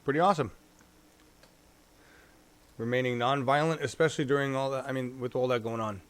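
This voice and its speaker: A man's voice: mid-range voice, steady tone, a little fast. Chatty rambling male show host. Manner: rambling, chatty, thinks out loud. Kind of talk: casual live-stream commentary.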